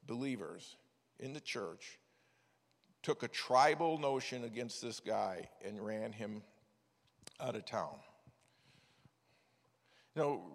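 A man's voice: mid-range voice, vocal fry. An older man preaching a sermon, telling stories in a folksy way with frequent restarts. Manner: folksy storytelling with many restarts. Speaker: preacher, former missions director. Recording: echoey hall with long reverb.

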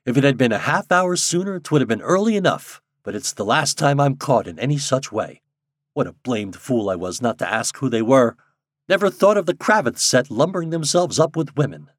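A man's voice: mid-range voice, expressive, a little fast, dry recording, studio quality, lightly acted. A man voicing a brooding, proud wilderness guide in a storybook, speaking emphatically. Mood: angry, regretful.

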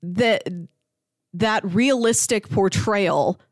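A woman's voice: mid-range voice, very slow, no room sound. Woman chatting casually in dry close sound. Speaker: podcast host. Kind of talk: casual TV review chat.

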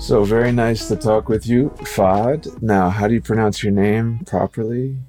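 A man hosting a podcast, speaking conversationally in thoughtful, halting phrases. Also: on the low side, fairly even intonation, music in the background. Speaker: podcast host. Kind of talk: casual conversational interview.

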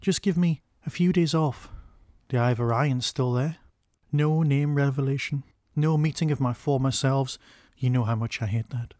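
A weary alien time traveller, as a male narrator puts him on, earnest and pleading. His voice sits mid-range and close to the mic, in a dry room. It is a storytelling voice with a gentle push behind each request. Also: mid-range voice, moderate pace, no echo, close to an everyday voice.

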